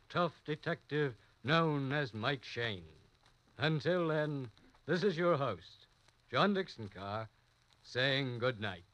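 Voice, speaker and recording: Slow, formal radio host. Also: male voice, on the high side, fairly bright tone, no room sound, low-quality recording. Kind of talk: formal radio show closing.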